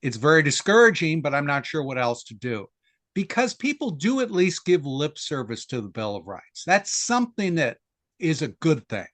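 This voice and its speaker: A man's voice: a little high, big pitch swings, moderate pace, a bit bright, no echo. An older man with an American accent, speaking as a historian guest in an interview and thinking aloud in a discursive way. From United States.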